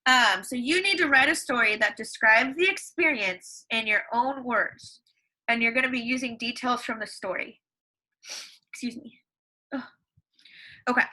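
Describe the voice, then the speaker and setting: Upbeat high-voiced teacher quizzing kids. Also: female voice, normal speed, long pauses, sharp tone, rough recording. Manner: upbeat, asks questions and answers them herself. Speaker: elementary school teacher. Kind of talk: read-along lesson for young students at home. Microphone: computer microphone.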